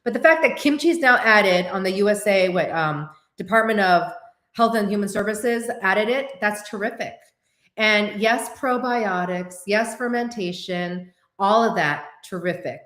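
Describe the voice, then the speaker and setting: A woman in her forties, born to Korean as a first language, hosting a casual livestream chat and answering viewers in an animated way. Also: medium pitch, measured pace, reverberant. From United States. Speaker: host.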